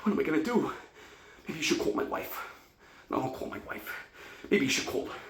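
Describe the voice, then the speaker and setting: A man plays a neurotic hypochondriac in a comic stage monologue, frantic and gasping. The voice is bright and pushed high, echoing in a roomy space. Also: animated, fairly quick. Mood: panicked. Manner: panicky, gasping, exclamatory. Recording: roomy, echoing solo room recording.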